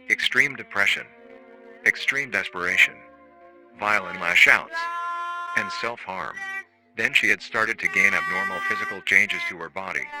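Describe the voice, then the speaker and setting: A man playing a formal, matter-of-fact research-facility narrator on an analog horror staff training tape, calmly chilling and euphemistic. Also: sits low, lightly acted, poor audio. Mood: clinical, detached.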